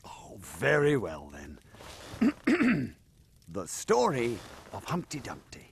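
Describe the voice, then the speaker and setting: A man playing a jovial, indulgent father in an animated fairy tale, hearty and theatrical. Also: mid-range voice, slow pace, gravelly, a little hiss or hum, heavily acted. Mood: indulgent storytelling.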